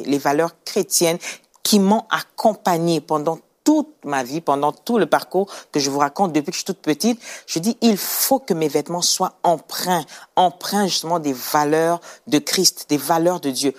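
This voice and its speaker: A middle-aged woman from Congo giving personal testimony as an interview guest, emphatic and repeating phrases for effect. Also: low-pitched. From Kinshasa, Congo. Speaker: guest, clothing brand founder.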